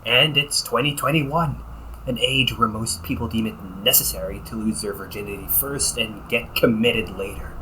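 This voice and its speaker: A man's voice: medium pitch, normal speed, pushed and bright, a little hiss or hum, natural voice, rough recording. A man playing a cynical, teasing vampire cop in an audio roleplay, talking in a slangy, sarcastic and flippant way. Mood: exasperated, sarcastic. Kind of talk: audio roleplay, casual conversational acting.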